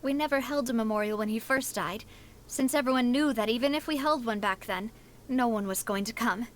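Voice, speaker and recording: A woman's voice: a little high, brisk, lightly acted. A woman voicing a confident, cheerful young noblewoman leader in an anime-style video game, with a breezy, self-assured, theatrical delivery. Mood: reflective. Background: game music.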